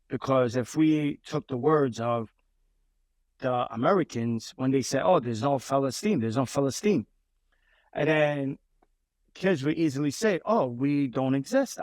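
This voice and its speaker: A man's voice: mid-range voice, no echo. A man, a guest in a casual interview, telling his story with many repeats and restarts. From Brooklyn, New York. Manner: repeats words and restarts often.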